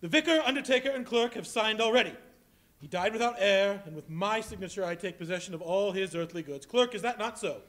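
An actor playing a bitter old miser in a live stage reading, speaking in a husky, clipped, scornful way in a large hall. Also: male voice, sits high, lively intonation, fairly quick, fairly bright tone. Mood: cold.

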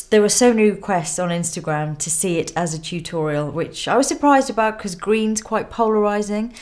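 A woman in her thirties with a cold and a nasal voice, calmly talking through a makeup tutorial step by step in a studio. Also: mid-range voice. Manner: calm step-by-step instruction while applying makeup. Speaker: makeup artist. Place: indoor studio with plain grey backdrop.

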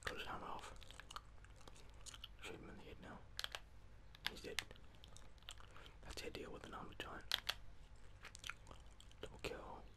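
A man's voice: a little slow, long pauses. Whispering man doing ASMR game commentary. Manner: casual, sweary. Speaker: gaming video host.

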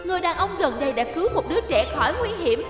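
A woman voicing a caring, alert young rescue team member in a dubbed children's cartoon, speaking earnestly and clearly. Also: very high-pitched, sing-song, rapid-fire. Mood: cheerful announcing. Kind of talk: dubbed children's animation acting. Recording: roomy sound with loud music behind.

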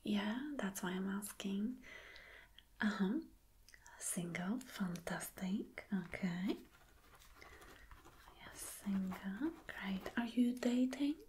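A woman playing a flirty, caring boss in a soft, breathy, whispered ASMR roleplay, gentle and coaxing. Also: mid-range voice, slight background noise, close to an everyday voice. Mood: flirty, curious. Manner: slow, gentle, coaxing, with hums of agreement. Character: flirty, caring female boss courting an employee. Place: small dim indoor room.

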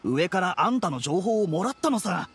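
A man playing a shifty smuggler in a Japanese video game, rough, curt and jumpy. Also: high-pitched, sing-song, moderate pace, soft background music. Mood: resigned.

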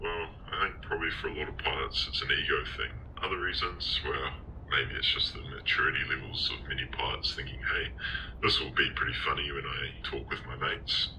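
A man with a very deep, low voice explains things in a measured way during a phone interview. His tone is bright and sharp-edged, and there is some faint noise behind him. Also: steady tone, poor audio. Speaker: pilot, interviewee.

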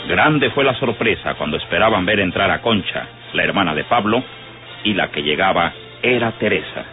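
Solemn Mexican male narrator for an old western radio serial; low, animated, dull archive sound. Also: moderate pace. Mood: solemn, dramatic. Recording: dull vintage radio, music behind.

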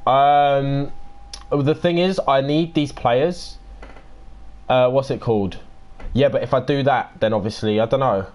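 A young man with a croaky voice, chatting casually and swearing as he livestreams a game and greets viewers by name. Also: medium pitch, unhurried, pauses often, darkish tone. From United Kingdom. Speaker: streamer. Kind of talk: casual gaming livestream chat.

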